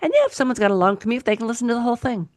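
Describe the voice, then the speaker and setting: A woman hosting a casual interview, asking questions in an enthusiastic way with halting restarts. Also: a little high, lively intonation, dry recording, clean studio sound. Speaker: podcast host, interviewer.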